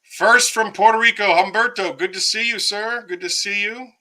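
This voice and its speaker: Man losing his voice, bright tone. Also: sits high, steady tone, moderate pace. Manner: rambling, many fillers, greets viewers by name. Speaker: livestream host. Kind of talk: casual livestream chat. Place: home, by himself.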